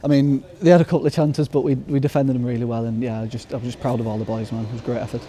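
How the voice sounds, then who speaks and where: A man's voice: medium pitch, little pitch movement, very fast, dark tone, slightly rough. A young footballer in his twenties, giving a modest, upbeat post-match interview outdoors at the ground at night, with lots of fillers and restarts.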